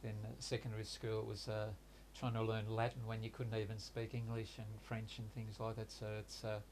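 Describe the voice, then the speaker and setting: Halting older man, flat even voice. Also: on the low side, slight background noise. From Hungary, raised in Australia. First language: Hungarian. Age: 60s. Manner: halting, hesitant, many pauses and restarts. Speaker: interviewee recounting his life. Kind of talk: reflective life-story interview.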